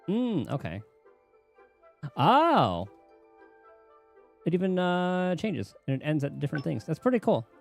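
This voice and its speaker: A man giving casual live commentary as he streams a game, thinking aloud in a halting way. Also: a little high, big pitch swings, gravelly, soft background music.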